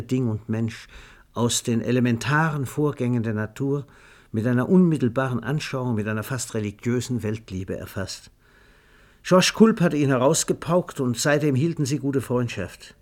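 A man narrating a literary radio play in a scripted storytelling style. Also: medium pitch.

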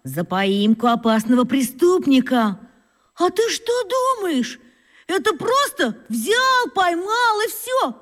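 A swaggering fifth-grade boy in a children's radio drama. The voice is high and swoops up and down as he shows off, with a long reverb around it. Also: sing-song, takes it slowly, heavily acted. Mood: dreamy boasting. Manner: cocky, swaggering, emphatic storytelling. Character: boastful schoolboy, fifth grader, self-important would-be detective. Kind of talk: children's radio play acting.

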